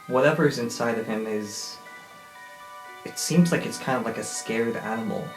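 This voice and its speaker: A man's voice: medium pitch, moderate pace. A man playing a tabletop game, improvising in a conversational way. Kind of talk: improvised in-character game talk. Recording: roomy echo, faint music.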